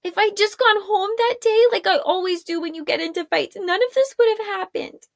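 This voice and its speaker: A woman voicing a young witch girl, anxious and guilt-ridden, pleading in a high, distressed voice. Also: moderate pace, gravelly, no echo, studio quality.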